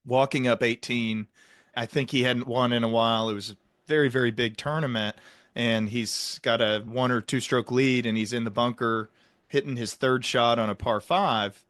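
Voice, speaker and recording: A man's voice: medium pitch, fairly even intonation, moderate pace, a bit bright, no echo, clean studio sound. A man speaking as an interview host, retelling an example in conversation.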